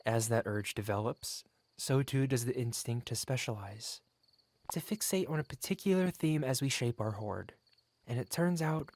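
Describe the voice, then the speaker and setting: A man's voice: mid-range voice, a little mellow, no room sound, lightly acted. A man playing a wealthy, covetous dragon in human form, speaking eloquently and formally in a theatrical ASMR roleplay monologue. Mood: smug, reminiscing. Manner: eloquent, formal, courtly, self-satisfied.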